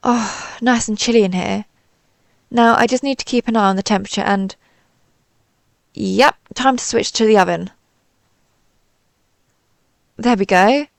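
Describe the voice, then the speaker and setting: A woman's voice: a little high, measured pace, gravelly, long pauses, no room sound. A woman playing a timid, suspicious alien pizza slice who rambles hesitantly and puts herself down in a scripted audio roleplay. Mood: curious, pleased. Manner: hesitant, rambling, self-deprecating.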